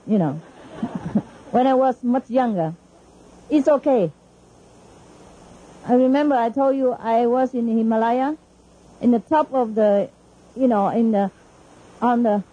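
A woman's voice: on the high side, warm tone, slightly rough, highs cut off. A middle-aged woman with a Vietnamese accent, a spiritual teacher giving an informal, chatty talk to her disciples, laughing often between her phrases.

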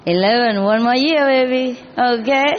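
A woman spiritual teacher chatting gently with children, laughing often and switching between languages. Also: a little high, slow, highs cut off, slight background noise. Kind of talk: casual chat with children at a gathering.

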